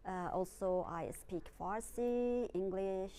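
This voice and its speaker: A middle-aged woman teacher with an Azerbaijani accent, answering interview questions haltingly with pauses and fillers. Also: medium pitch, warm tone, smooth. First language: Azerbaijani Turkish.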